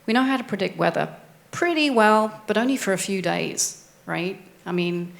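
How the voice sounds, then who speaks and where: A woman's voice: medium pitch, expressive, normal speed, vocal fry. A woman oceanographer on a panel, speaking conversationally and tossing in rhetorical questions. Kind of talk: panel discussion.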